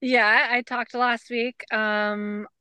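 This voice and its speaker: A woman co-hosting a podcast, chatting casually with many fillers and leading a calm grounding exercise. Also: a little high, drawn-out words, clean tone, no room sound. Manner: bubbly, lots of fillers, leads a calm breathing exercise. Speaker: podcast co-host. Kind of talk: casual chat.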